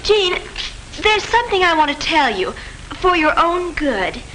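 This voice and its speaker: Teenage gossip, insistent, high lively voice, 1950s classroom film acting, slightly hissy soundtrack. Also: female voice, unhurried, exaggerated voice, rough recording. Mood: hesitant concern. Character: pushy, gossipy high school girl.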